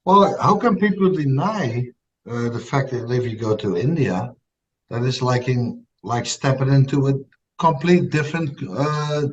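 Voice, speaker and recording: A man's voice: medium pitch, unhurried, far from the mic. A man with a Dutch accent who hosts a casual chat and keeps asking questions, often tagging them with "right?". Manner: poses questions, ends lines with a tag "right?". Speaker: podcast host. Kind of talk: casual conversation. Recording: dull lo-fi sound, set back from the mic.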